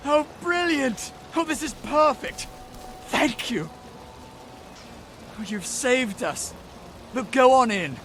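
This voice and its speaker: Overwrought self-pitying british houseboy, game character, super high voice, noisy rainy ambience. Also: male voice, animated, slow pace, pauses often, fairly bright tone, exaggerated voice. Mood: overjoyed. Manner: agitated, swearing, overwrought. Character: frantic, excitable, self-pitying bumbling lab houseboy. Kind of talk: video game character acting.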